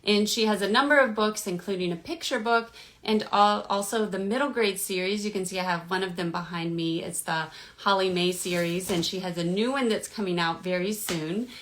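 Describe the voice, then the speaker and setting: A woman's voice: medium pitch, normal speed, few pauses, fairly bright tone. A woman hosting a live social media interview over a video call, talking in a friendly, informal way with some restarts. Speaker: host.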